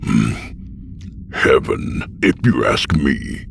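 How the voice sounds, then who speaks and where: A man voicing a weary, cryptic old man in a horror story, muttering slowly and hesitantly with hums and chuckles. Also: rumbling low, expressive, gravelly, a bit bright, a little hiss or hum, full character voice. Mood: matter-of-fact.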